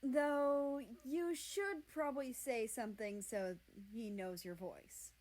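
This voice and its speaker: Woman reading a gentle, slow-spoken male healer. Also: on the high side, voice pushed up. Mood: friendly, explaining. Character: friendly, considerate male healer in a fantasy story.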